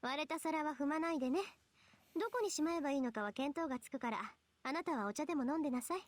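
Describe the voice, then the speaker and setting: An actress playing a confident, politely bossy teenage schoolgirl in a Japanese visual novel, crisp and assertive. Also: sits high, racing, no echo, lightly acted. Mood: firm, composed. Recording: game music faintly behind.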